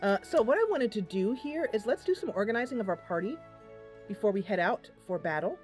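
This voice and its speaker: A woman doing casual live game commentary as a streamer, chatty and a bit goofy, thinking out loud as she goes. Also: mid-range voice, expressive, brisk, faint music behind. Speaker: streamer and host of a gaming channel.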